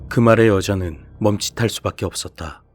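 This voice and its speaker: Low-voiced man narrating horror. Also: a little fast, faint music behind.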